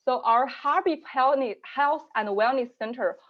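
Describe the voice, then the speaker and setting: A woman who manages global programs, hosting a virtual information session and walking through resources in a measured way with frequent fillers. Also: high-pitched, lo-fi sound. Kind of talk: online info session presentation. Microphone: video call.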